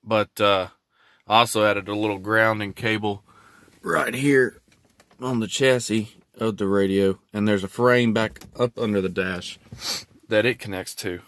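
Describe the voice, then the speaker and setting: A man's voice: on the low side, fairly even intonation, unhurried, a bit bright. A man giving a casual, hands-on video walkthrough in a laid-back, folksy way. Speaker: hobbyist vlogger showing a truck install.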